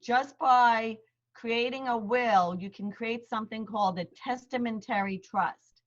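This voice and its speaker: A woman's voice: a little high, measured pace. Middle-aged woman with a Queens accent. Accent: New York (Queens). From New York. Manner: friendly, plain-spoken, explains terms step by step. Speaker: attorney giving a seminar. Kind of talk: explanatory presentation over video call. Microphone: video call. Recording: slightly dull lo-fi video call audio.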